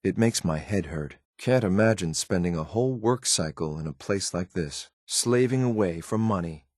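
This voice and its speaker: A grim synthetic soldier from a video game, with a low voice in a dry, close recording. He speaks in clipped, deadpan phrases with cold disdain for the world around him. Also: normal speed, no echo. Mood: cold, disdainful. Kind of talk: scripted video game companion lines.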